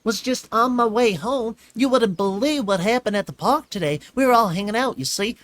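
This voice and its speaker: A reader voicing an excitable little filly pony girl, chatty and pleading in a squeaky voice. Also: moderate pace, no echo, close to an everyday voice. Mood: excited. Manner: squeaky, shrill and bouncy.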